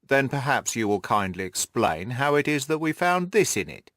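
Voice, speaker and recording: A man's voice: medium pitch, sing-song, moderate pace, fairly bright tone, dry recording, studio quality. Man playing a snappish, blustering police detective. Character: gruff, irritable, cocksure male police detective. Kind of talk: audiobook character dialogue.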